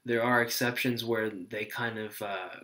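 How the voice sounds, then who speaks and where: A young man, a fishing guide, explaining gear to a webcam at his desk in a small room, in a relaxed, rambling way with many fillers. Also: lowish voice, a little slow. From Texas. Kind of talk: casual tutorial to camera.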